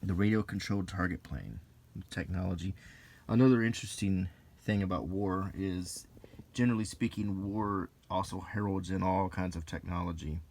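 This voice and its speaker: Deep-voiced man, musing softly aloud. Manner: musing, halting delivery with pauses. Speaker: video host reviewing an old magazine. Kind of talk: unscripted ASMR-style commentary while browsing a magazine.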